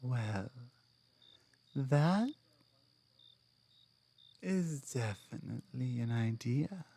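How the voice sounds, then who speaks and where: Woman playing a slow-spoken, proud female orc. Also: a bass voice, expressive, creaky, dark tone, husky, heavily acted. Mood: flirtatious, sultry. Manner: halting, earnest, deliberate. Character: strong proud female orc laborer, blunt, flirtatious, grateful. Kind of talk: ASMR roleplay monologue.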